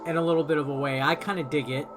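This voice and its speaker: A man's voice: fairly high pitch, steady tone, racing. A man giving informal, rambling gameplay commentary as a video host, explaining things step by step. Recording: music behind the voice. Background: game music.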